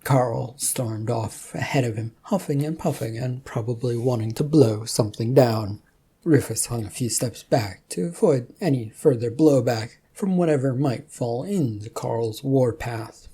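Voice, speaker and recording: A female storyteller reads scripted fiction. Her voice is extremely low and mellow, with a husky grain. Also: unhurried. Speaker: narrator and storyteller.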